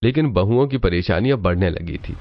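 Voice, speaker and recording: A man's voice: deep voice, expressive, fairly quick, dull audio. A man reading scripted story narration.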